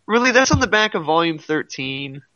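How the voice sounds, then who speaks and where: A man's voice: on the high side, big pitch swings, brisk, bright, forceful tone, no echo. A man co-hosting a podcast in casual chat, on a dull, lo-fi voice track. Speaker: podcast co-host.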